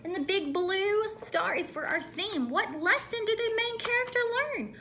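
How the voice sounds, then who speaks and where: Animated, bright, high-voiced woman teaching children. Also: normal speed, slight background noise. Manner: animated, instructive, asks listeners questions. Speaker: elementary school teacher. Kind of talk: read-aloud lesson for children.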